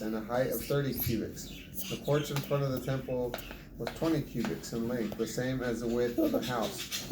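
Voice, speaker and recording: Guy reading the bible out loud, trips on words, echoey noisy home recording. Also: mid-range voice. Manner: stumbles and rereads words. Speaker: Bible reader. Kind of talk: reading scripture aloud.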